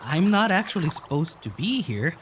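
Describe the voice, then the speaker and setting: A woman's voice: deep voice, big pitch swings, moderate pace, a little hiss or hum. A woman playing a polite, evasive traveler in an audio drama, hesitant and placating. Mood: uneasy, placating.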